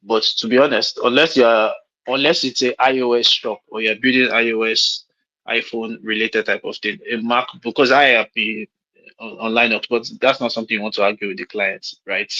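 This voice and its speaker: A Nigerian-accented man in his late thirties talks frankly over a call, circling back and restarting as he gives advice. His voice has a bright, forceful edge. The audio is a little dull and lo-fi. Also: mid-range voice, fairly even intonation, normal speed. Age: late 30s. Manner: frank, rambling, repeats himself with restarts. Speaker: mentor hosting a call.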